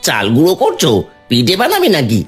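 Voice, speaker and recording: A woman's voice: deep voice, huge pitch swings, husky, faint music behind, exaggerated voice. A woman doing a nosy gossiping village man. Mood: prying. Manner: prying, rapid nagging.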